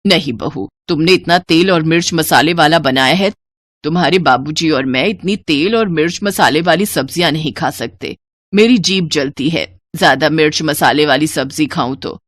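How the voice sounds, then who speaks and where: An older Indian mother-in-law played by a woman with a lowish voice and a dry, close sound. She is long-suffering and caring, and she admonishes as she explains, in the manner of a storybook moral tale. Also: moderate pace, no echo. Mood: weary scolding. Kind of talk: dramatised Hindi moral story.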